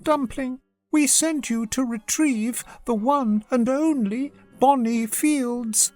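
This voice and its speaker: A woman's voice: sits high, slow, a little mellow, soft background music, voice pushed up. A woman voicing a kindly, gracious silver-haired deer creature in a children's storybook, polite and welcoming. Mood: worried, then relieved.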